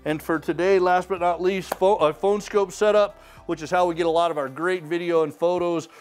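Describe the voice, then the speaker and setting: A man's voice: sits high, fairly even intonation, normal speed, soft background music. Upbeat middle-aged man calling prize winners. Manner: upbeat, off-the-cuff, reads names and towns. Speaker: sweepstakes host. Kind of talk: casual prize drawing announcement. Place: indoor studio with wood walls and mounted trophies.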